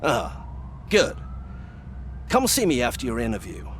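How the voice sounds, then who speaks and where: An actor playing a wary, self-assured prison warden in a video game, speaking in a deliberate, conspiratorial manner. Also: male voice, medium pitch, wildly swooping pitch, slight background noise. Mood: wary, suspicious. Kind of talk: video game cutscene acting.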